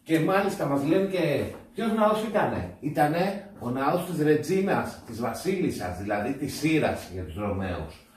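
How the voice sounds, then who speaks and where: A man's voice: a little high, sing-song, a little mellow, recorded from a distance. Man explaining things, echoey and off-mic. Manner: explanatory, addressing viewers. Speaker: father in a family travel vlog. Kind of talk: casual house-tour commentary. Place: small stone-walled holiday apartment. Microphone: camera mic from a few steps away. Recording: roomy echo, off-mic, faint noise.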